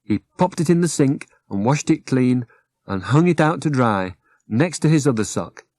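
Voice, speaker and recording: A man's voice: mid-range voice, lively intonation, moderate pace, a little mellow, dry recording. A British man reading children's stories aloud as the narrator of an audiobook. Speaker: author and narrator.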